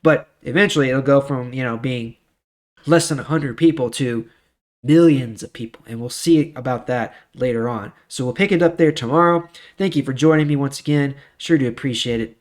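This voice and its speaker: A man's voice: mid-range voice, moderate pace. A man hosting a daily Bible show, reading scripture and giving casual devotional commentary.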